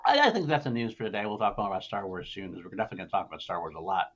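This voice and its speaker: A man with a lowish voice talks at a rapid clip as a podcast host. His delivery is informal and opinionated, chatting casually about pop culture. The audio sounds slightly dull and muffled. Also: big pitch swings.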